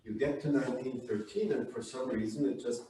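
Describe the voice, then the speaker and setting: A man's voice: mid-range voice, brisk, dark tone. A man in the audience asking probing follow-up questions at a museum talk. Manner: probing, inquisitive. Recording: distant in an echoey hall, faint noise.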